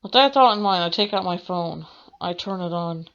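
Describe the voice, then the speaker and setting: A woman's voice: lowish voice, normal speed, a bit bright, highs cut off. A young woman let's player reading visual novel text aloud, expressively and with the odd stumble. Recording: game music behind the voice. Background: video game music.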